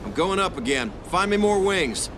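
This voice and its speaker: An actor playing a brash, cocky fighter pilot who swears freely. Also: male voice, high-pitched, expressive, moderate pace, noisy background.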